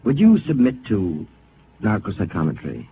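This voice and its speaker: An actor playing a methodical, authoritative scientist with a measured clinical manner in a mid-century radio drama. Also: male voice, fairly low pitch, huge pitch swings. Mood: calm, probing. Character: methodical male scientist running mind-probe experiments, commanding. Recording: tinny, noisy old radio broadcast.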